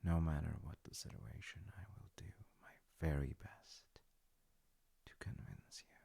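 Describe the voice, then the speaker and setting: A man playing a gentle, doting dad with dry humor in an intimate comfort audio roleplay, musing softly and haltingly in a husky murmur. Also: rumbling low, fairly even intonation, whispered, exaggerated voice. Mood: tender, reassuring. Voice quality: gravelly, husky, often dropping to a breathy murmur. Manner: slow, soft-spoken, halting, musing. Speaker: voice actor.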